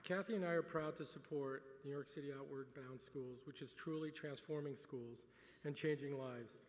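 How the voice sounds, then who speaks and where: A middle-aged man whose first language is French gives a relaxed acceptance speech at a gala dinner, with dry humor and many filler words. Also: mid-range voice, normal speed, a faint crowd, a little hiss or hum. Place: large banquet hall. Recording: reverberant hall.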